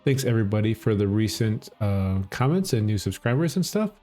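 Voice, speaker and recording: A middle-aged man with a Minnesota accent, explaining a game in a relaxed, easygoing way. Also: fairly low pitch, brisk, faint music behind. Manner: relaxed, explanatory, chuckles often. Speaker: gaming channel host. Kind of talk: casual gameplay commentary.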